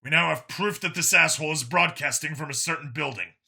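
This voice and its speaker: A man's voice: a little high, brisk, vocal fry, bright, forceful tone, breathy edge, heavily acted. A man voicing a terse, weary human detective in a sci-fi story reading. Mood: frustrated. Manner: terse, grumbling. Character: Vincent, gruff weary human detective on dangerous missions.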